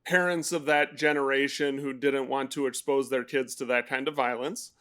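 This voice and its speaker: A man's voice: medium pitch, steady tone, moderate pace, fairly bright tone. Podcast guy chatting, middle voice, kinda rambly, lots of ums. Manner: rambling, many fillers and restarts. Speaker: podcast co-host. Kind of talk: casual chat.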